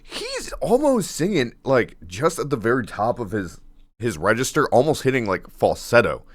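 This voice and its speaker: A man with a deep baritone voice reacting casually to a song, laughing often and rambling with fillers and restarts. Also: sing-song, normal speed, dry recording, studio quality, faint music behind. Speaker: music reaction host.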